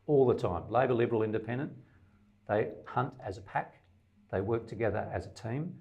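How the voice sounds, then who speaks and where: A man's voice: medium pitch, a little fast, lo-fi sound. An Australian man, a middle-aged independent candidate, answering interview questions emphatically in long lists, with some stammered restarts.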